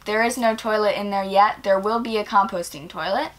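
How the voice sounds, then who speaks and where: A woman's voice: medium pitch, fairly quick. Young woman chatting casually on camera. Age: 20s. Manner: relaxed, rambling, many ums and likes. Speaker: vlogger. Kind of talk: casual Q&A vlog. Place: small indoor room, bed behind.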